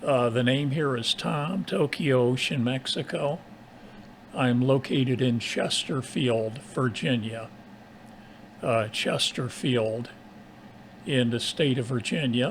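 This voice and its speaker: Slow, gravelly-voiced man on ham radio. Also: mid-range voice, slight background noise. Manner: spells call signs phonetically, procedural sign-offs. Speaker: amateur radio operator. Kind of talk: ham radio contact chat.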